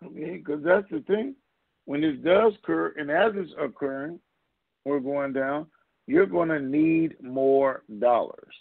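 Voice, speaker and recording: A man's voice: fairly high pitch, a little slow, gravelly, no room sound. A man co-hosting a call show, giving informal opinions emphatically with repeated phrases and rhetorical questions over a phone conference line. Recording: muffled lo-fi conference call audio.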